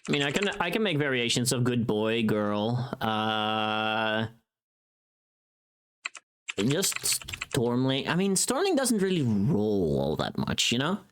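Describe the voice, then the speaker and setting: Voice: mid-range voice, sing-song, slow pace, slight background noise. A streamer of unclear sex chatting casually to a live audience and thinking aloud while working. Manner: thinking aloud, humming little tunes between remarks. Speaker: streamer and voice performer. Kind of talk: casual livestream chat.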